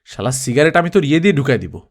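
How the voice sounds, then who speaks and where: A man acting out a loud, raging, drunk film star. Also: medium pitch, sing-song, rapid-fire. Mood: drunken rage. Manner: ranting, abusive, self-important. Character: drunk, furious, foul-mouthed male film star raging. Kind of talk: audiobook character acting.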